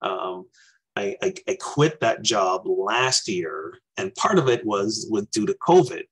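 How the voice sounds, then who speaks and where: A man's voice: lowish voice, lively intonation, slow pace, breathy edge, rough recording. A man in his forties from Barbados, giving an earnest, reflective talk over a video call from a small room. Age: 40s. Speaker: minister. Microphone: webcam on a video call.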